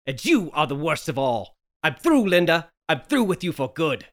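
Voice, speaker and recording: A man's voice: a little high, very animated, normal speed, a bit bright. A man playing a wronged, desperate husband in a theatrical 1930s radio melodrama reading, overwrought and stammering. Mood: bitter. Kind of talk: 1930s radio horror melodrama table read.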